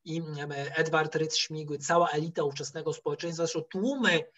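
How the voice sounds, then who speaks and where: A middle-aged man giving a history lecture over a video call, telling the story haltingly with digressions and repeated words. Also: on the high side, fairly bright tone. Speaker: history professor, guest lecturer. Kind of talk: spoken history lecture with slides. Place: indoor room, video call. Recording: dull, lo-fi online call audio.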